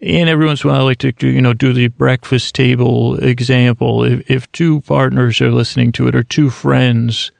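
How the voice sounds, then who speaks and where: Creaky-voiced man rambling to sleep. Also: medium pitch, steady tone, a little fast, hardly any pauses, no room sound. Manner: meandering, digressive, self-deprecating. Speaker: sleep podcast host. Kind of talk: rambling bedtime talk.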